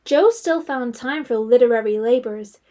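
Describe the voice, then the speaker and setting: Actress as composed woman narrator, high voice, literary period storytelling for audio drama. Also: moderate pace, dark tone, close to an everyday voice. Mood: wry, composed.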